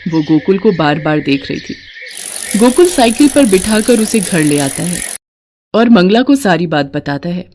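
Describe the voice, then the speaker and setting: A woman's voice: on the low side, lively intonation, moderate pace, darkish tone, slight background noise. Woman narrating a scripted story.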